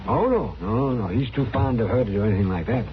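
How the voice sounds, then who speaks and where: An actor playing a crusty but kindly older frontier doctor who grumbles and hems in an old-time radio western. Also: male voice, medium pitch, expressive, quick pace, lightly acted. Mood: grave, confiding. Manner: grumbling, hemming, folksy. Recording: muffled, noisy old radio recording.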